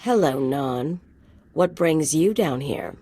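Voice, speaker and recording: Cocky, low-voiced female mercenary. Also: animated, slow, mellow, husky, full character voice. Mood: wry, amused. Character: brash, ambitious young female mercenary, sly and sardonic. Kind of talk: video game character dialogue.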